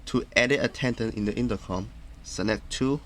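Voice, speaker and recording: Male tutorial narrator, lowish register, plain halting instructional delivery, slightly noisy recording. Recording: clearly noisy background.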